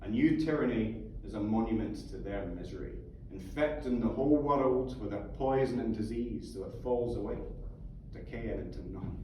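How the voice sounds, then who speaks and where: A man's voice: lowish voice, moderate pace, darkish tone, close to an everyday voice. Man voicing an earthy Scottish mentor, echoey hall. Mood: fervent. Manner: emphatic, rhetorical, coaxing. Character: earthy, fervent Scottish mentor, philosophising and sweary. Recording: echoey theatre from far off, faint noise.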